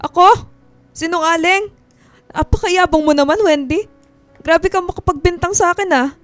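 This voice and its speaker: Ailing older mother, very high voice, defensive, guilt-tripping, melodramatic radio drama, dry studio sound. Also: moderate pace, dry recording, soft background music. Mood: indignant, offended. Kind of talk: Filipino radio drama acting.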